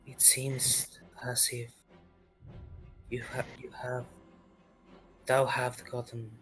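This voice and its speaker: Man playing a very slow, solemn ancient woman. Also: mid-range voice, monotone, a bit bright, faint music behind. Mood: solemn, hesitant. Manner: slow, halting, archaic speech. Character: centuries-old bewildered woman, archaic, solemn order member.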